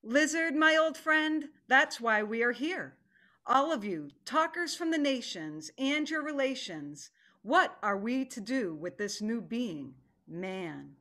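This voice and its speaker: A woman's voice: a little high, animated, bright, forceful tone, exaggerated voice. A middle-aged woman playing a formal, stern tiger who presides over an animal council in a play reading on a video call. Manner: ceremonious, deliberate. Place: living room at home.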